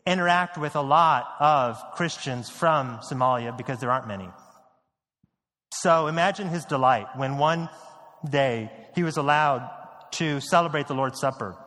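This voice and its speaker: A male pastor from the United States preaching a sermon, earnest, repeating key lines and dropping low and quiet on grave points. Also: in a large hall. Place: church sanctuary.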